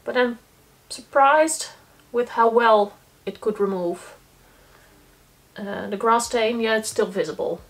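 A woman's voice: a little high, slow, low-quality recording. A young woman demonstrating a laundry test on camera, talking casually and thinking aloud as she checks each item. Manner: matter-of-fact, thinking aloud while handling laundry. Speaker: eco-living vlogger. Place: small laundry corner indoors, sitting on the floor.

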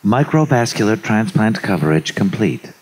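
A man's voice: on the low side, big pitch swings, moderate pace, rough recording. A man playing a fussy, precise ship's artificial intelligence in a live comedy cartoon read. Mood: matter-of-fact. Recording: live stage mic with background noise.

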